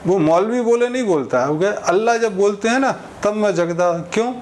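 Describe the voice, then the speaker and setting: High-pitched man giving informal commentary, some noise. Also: expressive, a little fast. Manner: conversational, illustrates points with examples and imagined exchanges. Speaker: speaker giving social commentary. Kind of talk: informal opinion monologue.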